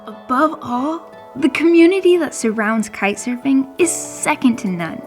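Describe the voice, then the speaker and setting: A woman's voice: high voice, lively intonation, unhurried, soft background music. A woman reading scripted documentary narration as the narrator of a film.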